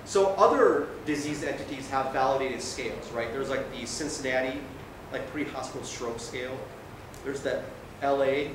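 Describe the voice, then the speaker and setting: A male doctor giving an informal medical lecture in a conversational way, often checking in with his listeners. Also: moderate pace. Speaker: emergency physician lecturing. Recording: very echoey room, distant mic, noisy rumble.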